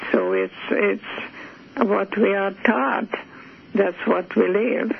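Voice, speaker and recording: An older woman whose first language is Hungarian, a guest in an interview, speaking slowly and hesitantly with many pauses and restarts. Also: mid-range voice, vocal fry. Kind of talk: phone interview. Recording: tinny phone line, faint hiss.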